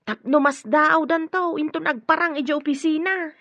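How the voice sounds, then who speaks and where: An actress with an Ilocano Filipino accent playing an agreeable, gossipy household worker in a radio drama. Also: female voice, high-pitched, normal speed, a bit bright, no room sound. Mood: chatty. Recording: dull, lo-fi radio drama recording.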